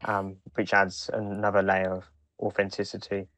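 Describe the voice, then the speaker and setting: A man co-hosting a podcast in casual discussion, speaking in a halting way with frequent restarts. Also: deep voice, completely flat delivery, a bit bright, no room sound. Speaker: podcast co-host.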